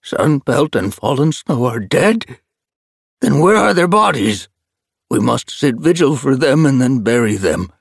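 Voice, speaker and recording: A man's voice: mid-range voice, animated, measured pace, creaky, breathy edge, no echo, studio quality, pitched above the performer's own voice, exaggerated voice. A man voicing a frail, grieving old male cat elder in a storybook reading, speaking in a shaky, protesting way.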